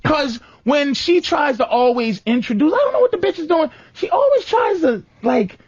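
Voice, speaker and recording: A man's voice: extremely high pitch, very animated, normal speed, dry recording, dull audio. A man hosting a radio show, ranting comically and profanely about relationships and mimicking his girlfriend in whiny voices. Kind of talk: comedic relationship rant.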